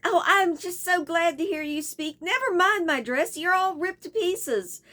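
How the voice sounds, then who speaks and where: A breathless young noblewoman, high and very bright, her voice swinging all over the place, as a woman puts her on. She pleads and fusses over a wounded man. Storybook reading. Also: expressive, unhurried, heavily acted. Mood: anxious.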